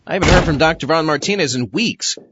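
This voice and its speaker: A man's voice: fairly high pitch, expressive, fast, muffled recording, background noise, natural voice. A man playing a sarcastic, incredulous astronaut in a comedy audio drama. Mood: exasperated, baffled. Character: exasperated, sarcastic male astronaut hero. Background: music.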